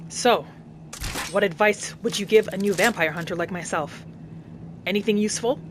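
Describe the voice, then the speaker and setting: A woman's voice: mid-range voice, normal speed. A woman doing in-character roleplay commentary for a game, speaking in an earnest, dramatic way. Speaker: gameplay roleplayer. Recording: game music behind the voice. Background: game music.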